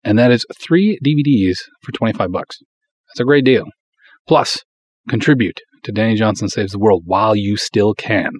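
A man's voice: lowish voice, moderate pace. A man hosting a podcast, chatting to listeners and promoting projects in a direct, informal style. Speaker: podcast host.

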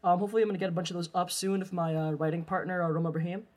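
A man's voice: high-pitched, fairly even intonation, racing, a little mellow. A man talks casually as a co-host on a film podcast. His delivery is informal and unscripted, as in a chat among friends.

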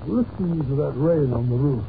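Casting: an earnest, exclamatory sidekick, higher-voiced and animated, in vintage radio drama on a very muffled recording. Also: male voice, moderate pace, vocal fry. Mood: tense, curious. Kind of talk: old-time radio drama acting. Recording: very muffled old radio recording, heavy noise.

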